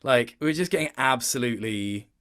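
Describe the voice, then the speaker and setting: A man in his late twenties speaks as a guest in a relaxed interview. His delivery is conversational and rambling. He often doubles back to rephrase as he tells a story. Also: mid-range voice, fairly quick, smooth. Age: late 20s. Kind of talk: casual gaming interview chat.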